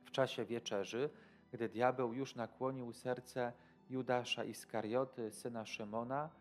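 A man in his thirties speaking as a priest in devotional commentary, deliberate and halting. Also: mid-range voice, steady tone, faint music behind. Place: indoor studio.

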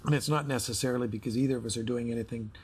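A middle-aged man talking reflectively as a counselling client, halting and repeating words as he searches for them. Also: mid-range voice, fairly even intonation, husky. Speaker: husband in couples counselling. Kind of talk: reflective conversational talk. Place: indoor studio set with armchairs.

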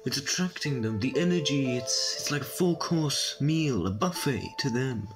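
A man acts an ancient but quick-witted time traveller in a sci-fi audio drama. He has a medium-pitched voice and a resolute manner. Faint music plays underneath. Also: animated, natural voice. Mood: urgent. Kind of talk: amateur audio drama acting.

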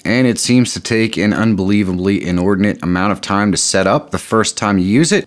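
A man in his fifties hosting a casual, step-by-step software tutorial. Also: sits low. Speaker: tech tutorial host. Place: indoor home studio.

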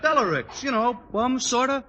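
An actor playing a dim-witted, good-natured teenage football player in a 1940s radio sitcom, earnest and ungrammatical. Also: male voice, very high-pitched, animated, moderate pace. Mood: puzzled, willing. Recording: muffled, very noisy old radio recording.

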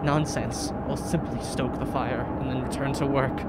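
A woman playing a desperate, obsessive dying writer in an audio drama, halting and fraught as she freezes. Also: very deep voice, fairly even intonation. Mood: startled, defensive. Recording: clearly noisy background.

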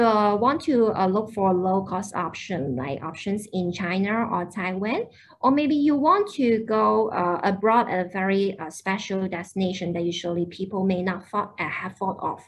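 A woman's voice: mid-range voice, unhurried, a little hiss or hum. Woman hosting an online admissions webinar. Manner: informative, with frequent uh fillers. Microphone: video call.

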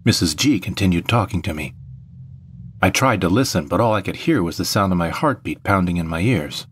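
A man's voice: sits low, animated, brisk, slight background noise. A man reading first-person scripted narration for an audio drama.

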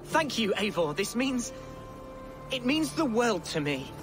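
An actor playing a gentle, eager young Anglo-Saxon lord in a video game, hesitant and earnest as he speaks. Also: very high-pitched, big pitch swings, measured pace, over music, full character voice. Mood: eager, grateful. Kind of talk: video game cutscene acting.

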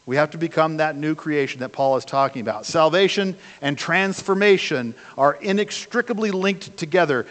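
Older pastor preaching in a reverberant church. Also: male voice, on the high side, fairly bright tone. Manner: conversational preaching with rhetorical questions. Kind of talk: sermon. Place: church sanctuary, speaking from a pulpit. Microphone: far camera at the back of the church. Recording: long church hall reverb.

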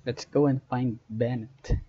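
A man's voice: medium pitch, steady tone, a little slow, lo-fi sound, background noise. A man giving casual gameplay commentary as a streamer, in hesitant fragments. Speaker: gaming streamer. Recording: game music behind voice. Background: game music and sounds.

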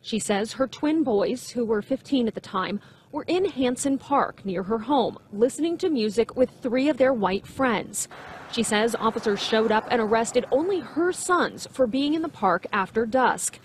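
A woman's voice: on the high side, expressive, normal speed, a little hiss or hum. A woman reporter reading scripted narration for a television news report.

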